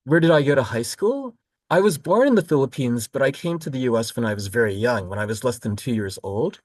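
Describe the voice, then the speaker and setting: A man from Florida who teaches college literature, walking students through a course overview in a relaxed, explanatory way with frequent ums and self-corrections. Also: mid-range voice, brisk, no room sound, clean studio sound. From Florida, United States. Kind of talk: recorded course overview lecture.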